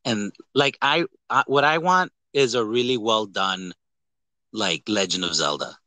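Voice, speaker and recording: A man with a bright, forceful voice, recorded close and dry. He chats casually as a podcast co-host, rambling with animation and swearing freely. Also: fairly low pitch, measured pace, dry recording. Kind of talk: casual chat about films and games.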